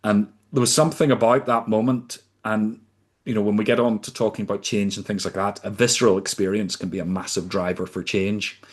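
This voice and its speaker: A man in his fifties from the UK, a podcast guest recounting his career in a measured, deliberate way. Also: fairly low pitch, steady tone. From United Kingdom. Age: 50s. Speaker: podcast guest, sustainability consultant. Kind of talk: reflective storytelling in conversation.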